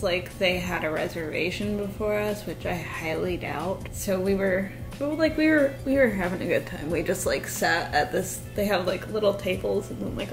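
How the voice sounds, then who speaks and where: A young woman in her twenties rambles to her camera at home, her voice a touch raspy and sitting mid-range in pitch. She strings her thoughts together loosely, leaning on "like" again and again. Soft music runs faintly behind her. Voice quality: slightly raspy. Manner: rambling, chatty, lots of "like". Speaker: vlogger. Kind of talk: casual vlog chat. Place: small home kitchen, indoors. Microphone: camera on a desk, facing her.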